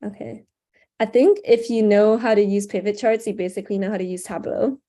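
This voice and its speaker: A young woman runs a live video-call tutorial through a headset, talking in a loose, casual way full of ums and likes. Her voice sits on the mellow, darker side, and a sore throat keeps her clearing it. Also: mid-range voice, fairly even intonation, fairly quick. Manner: casual, chatty, frequent "um" and "like". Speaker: workshop instructor. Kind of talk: live online workshop screen-share tutorial.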